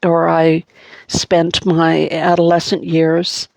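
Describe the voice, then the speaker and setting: A middle-aged woman with an Anglophone Montreal accent, giving a halting personal monologue full of fillers and restarts. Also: low-pitched, monotone, gravelly, a little mellow, no echo. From Montreal, Canada. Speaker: vlogger.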